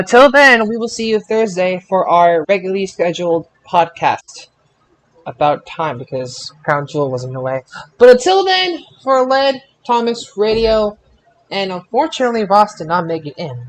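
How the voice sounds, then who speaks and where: A man's voice: high voice, lively intonation, normal speed, fairly bright tone, poor audio. A man hosting a podcast in a casual style, with faint background noise. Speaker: podcast host.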